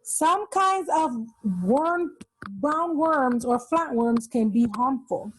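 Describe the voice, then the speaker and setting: A woman's voice: high-pitched, expressive, slow, faint music behind, rough recording. A woman reading a children's nonfiction book aloud, explaining as she goes and pointing out the pictures. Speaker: read-aloud host for children.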